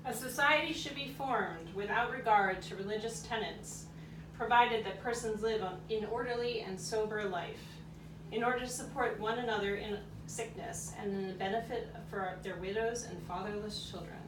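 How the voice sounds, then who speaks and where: A woman acting as a formal 1800s reader of records, declaring each line in a mid-pitched voice. It is a live storytelling performance, picked up from a distance with clear background noise. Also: moderate pace, natural voice. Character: formal 19th-century reader of charters and census records. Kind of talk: scripted historical reading in a live puppet show. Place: outdoor courtyard, live performance. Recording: roomy, distant microphone, noticeable background noise.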